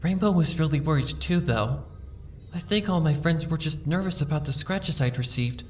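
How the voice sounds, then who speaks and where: A woman voicing a timid, sick young female pony who speaks softly and stammers as she pleads. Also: sits low, lively intonation, normal speed, distant mic, soft background music. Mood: timid, shaky. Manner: soft, hesitant, stammering, pleading. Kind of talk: fan audiobook reading.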